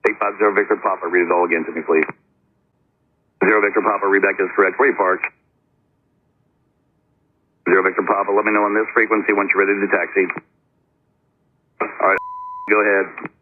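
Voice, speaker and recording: Fast deep-voiced guy, air traffic controller, clipped talk on a tinny radio. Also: flat delivery. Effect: aviation radio. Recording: noisy, narrow, clicking aviation radio transmission.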